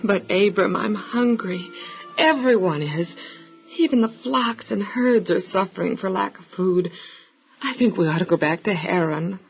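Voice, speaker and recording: An actress playing the emotional, pleading wife of a patriarch in a dramatized Bible audio drama. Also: female voice, medium pitch, lively intonation, measured pace, creaky, breathy edge, highs cut off, soft background music, exaggerated voice.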